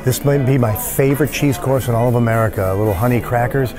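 A man's voice: mid-range voice, fast talker, music in the background. A man in his fifties filming himself eating at a restaurant, chatting and joking about each dish. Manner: enthusiastic, jokey commentary while eating. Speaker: food vlogger. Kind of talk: casual dining vlog. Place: fine-dining restaurant indoors. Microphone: phone at arm's length.

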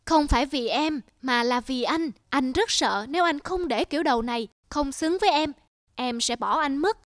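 A woman voicing a devoted, reassuring young husband in a cartoon, gently playful. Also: sits high, normal speed, dry recording, studio quality, lightly acted. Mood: tender, reassuring. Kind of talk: cartoon dialogue.

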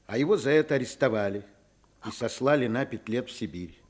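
A man's voice: mid-range voice, lively intonation, fairly quick, natural voice. An actor playing a loving, principled, wry educated father in a radio play. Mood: earnest. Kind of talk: Soviet radio play acting.

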